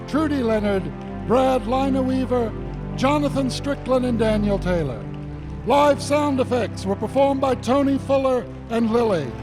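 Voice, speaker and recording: A man working as an announcer, reading in a scripted, formal announcement style. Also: very high voice. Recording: live stage recording, music behind.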